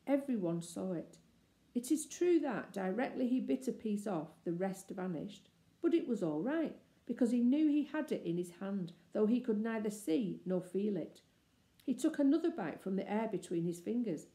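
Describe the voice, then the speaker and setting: A woman reading a children's story aloud as expressive narration. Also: medium pitch. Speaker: storybook reader. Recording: roomy, echoey indoor sound.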